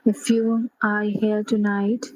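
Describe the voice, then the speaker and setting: A woman leading a guided sleep meditation in a gentle, unhurried manner, pausing between short phrases. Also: medium pitch, flat delivery, no echo, poor audio.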